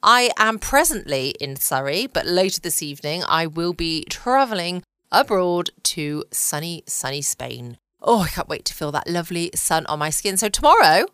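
A woman hosting a gentle bedtime podcast from her home, introducing the evening's listening in a soft, playful way. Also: mid-range voice, dry recording, studio quality. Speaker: podcast host.

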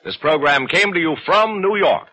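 Casting: male old-time radio pitchman, animated mid-range voice, polished and emphatic, faint hiss. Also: normal speed. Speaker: announcer. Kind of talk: 1940s radio commercial announcing.

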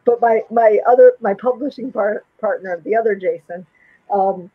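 A man, a publisher, chatting casually on a podcast. He is laid-back, laughs easily and has a husky voice. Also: sits high, moderate pace. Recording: dull lo-fi audio.

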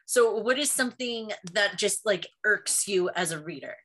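Lady podcast cohost asking stuff, bright crisp edge to her voice, mid pitch, chatty. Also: animated, normal speed, a little hiss or hum. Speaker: podcast co-host, interviewer. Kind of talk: casual interview chat.

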